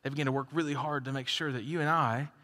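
Joking pastor in a big reverberant hall. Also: male voice, medium pitch, steady tone, quick pace, pushed and bright. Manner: conversational and joking, with laughs. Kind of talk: sermon.

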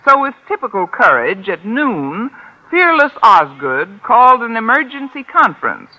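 Bright, wisecracking American schoolteacher. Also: female voice, fairly high pitch, sing-song, normal speed, dull audio, full character voice. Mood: sardonic. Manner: dry, sardonic wisecracks. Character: wry, wisecracking single woman high school English teacher. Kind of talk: 1950s radio sitcom acting. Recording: hissy old radio broadcast.

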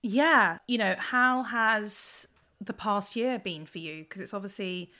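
A woman hosts a podcast interview. She opens with a read introduction and then moves into relaxed, conversational questions. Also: mid-range voice, slow. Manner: reads a scripted intro, then chats casually and laughs along. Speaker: podcast host.

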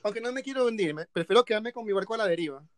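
A man co-hosting a casual music talk, playful and unhurried, often laughing. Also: sits high, animated, crowd noise. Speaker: podcast co-host. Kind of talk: casual chat.

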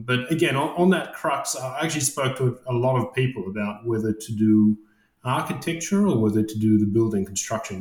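A man's voice: fairly low pitch, a little mellow, echoey room. A man in his late thirties from Iran, raised in Australia, talking as a guest in a casual interview and often hesitating with fillers and restarts. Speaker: guest, construction project manager.

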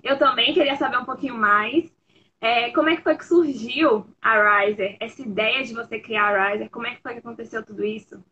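Young woman, high, sharp voice, upbeat stream host, dull call audio with faint hiss. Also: fairly even intonation, a little fast. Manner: upbeat, addresses the audience as "galera". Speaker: product lead hosting a live stream. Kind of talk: casual live-stream hosting. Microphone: video call audio.

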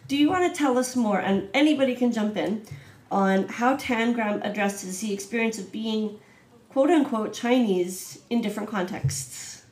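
A woman's voice: fairly high pitch, echoey room. Chatty woman on a video call. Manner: chatty, warm laughs, many "um"s. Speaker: host, artistic director. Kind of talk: casual video-call interview.

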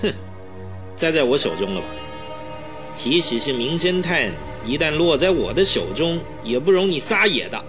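A man's voice: fairly high pitch, sing-song, normal speed, music in the background. A man acting in a radio drama as a smug, cruel villain, a murderer who gloats and taunts his victim. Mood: smug, gloating. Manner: taunting, smug, self-satisfied.

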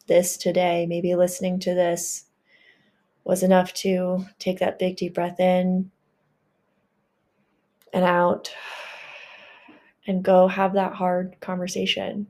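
Slow, soft-spoken woman guiding meditation. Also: on the low side, little pitch movement. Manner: gentle, reassuring, affirming. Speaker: meditation guide. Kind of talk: guided healing meditation.

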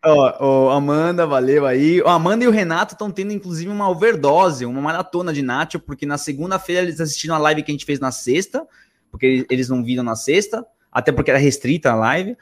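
A man from Argentina speaks in a somewhat high voice with a Brazilian Portuguese accent. His delivery is casual and slangy, wandering through stories as he cuts himself off and picks up again. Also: quick pace. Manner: rambling, slangy, self-interrupting. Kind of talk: casual conversational storytelling.

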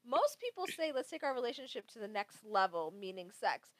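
A woman's voice: fairly high pitch, very animated, brisk. A woman co-hosting a podcast in casual chat, blunt and opinionated, given to exasperated rhetorical questions. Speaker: podcast co-host. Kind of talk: casual pop culture chat.

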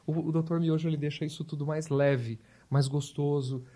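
A man's voice: a little high, steady tone, moderate pace, warm tone. A man in his fifties from southern Brazil, a volunteer hospital clown, telling his story in an interview with a reflective, storytelling manner. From Porto Alegre, Brazil. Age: 50s. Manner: reflective, storytelling, with repeated restarts. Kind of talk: interview conversation.

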